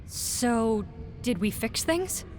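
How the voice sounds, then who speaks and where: Fairly high-voiced fantasy warrior. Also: female voice, lively intonation, extremely slow, music in the background. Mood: firm, questioning. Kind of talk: video game cutscene acting.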